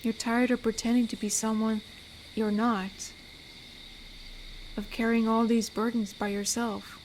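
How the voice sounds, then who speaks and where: A woman voicing an amiable, protective, lonely female werewolf in an intimate audio roleplay, speaking soothingly and reflectively with pauses. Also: a little high, level tone, darkish tone, slight background noise, natural voice, low-quality recording. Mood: gentle, guarded. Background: night forest ambience with crickets.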